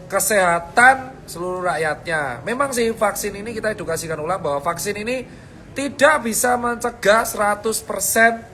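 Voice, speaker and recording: High, bright-voiced man with a fierce, blunt delivery. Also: slight background noise. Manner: slangy, calls listeners boss and bro. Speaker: vlogger giving health advice. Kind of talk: casual direct-to-camera talk.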